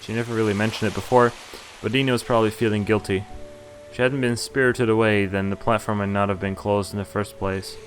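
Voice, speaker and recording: A man with a low voice reads visual novel text aloud as a streamer, slipping between first-person narration and casual commentary. Soft game music plays behind him. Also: fairly even intonation, fast talker.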